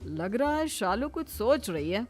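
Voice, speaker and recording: Animated woman storyteller with music behind. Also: fairly high pitch, unhurried. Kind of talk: scripted comic story narration.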